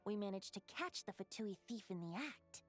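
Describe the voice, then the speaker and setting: An actress playing an easygoing young adventurer in a video game, teasing and lighthearted. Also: female voice, medium pitch, lively intonation, normal speed, faint music behind, natural voice. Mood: easygoing, curious. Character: easygoing, playful young female traveling adventurer.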